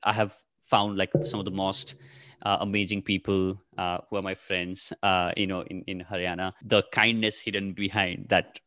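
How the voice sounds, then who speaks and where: A man's voice: low-pitched, little pitch movement, normal speed, a bit bright, dry recording. A man in his thirties from Nepal, whose first language is Nepali, answering interview questions casually with many fillers and restarts. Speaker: podcast host, guest here.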